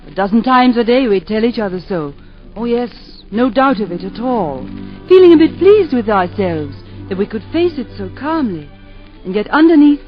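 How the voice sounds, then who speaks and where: An actress gives voice to a warm village wife who tells her own story, wistful and lightly self-mocking. Her voice sits a little high. The recording is muffled, from a 1940s radio drama, with faint music. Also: natural voice. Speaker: narrator and lead.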